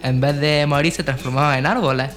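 A man's voice: a little high, fairly even intonation, fairly quick, fairly bright tone. A man from co-presenting a radio show. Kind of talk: radio chat and scripted summaries. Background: music, guitar under the voice.

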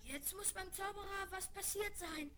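Little castle page, played by a woman: very high, eager, excitable boy in a vintage fairy-tale audio play, faint noise. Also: moderate pace. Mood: excited, awed. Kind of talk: 1970s German children's audio play acting. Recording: vinyl record rip, slight background noise.

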